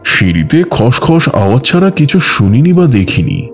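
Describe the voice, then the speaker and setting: A man playing a teasing older uncle in an audio story, speaking in a deliberate, mock-serious way. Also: mid-range voice, sing-song. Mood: wry, knowing. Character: teasing older uncle hosting guests in ancestral mansion. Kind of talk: dramatised audio story dialogue. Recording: music behind the voice. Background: background music.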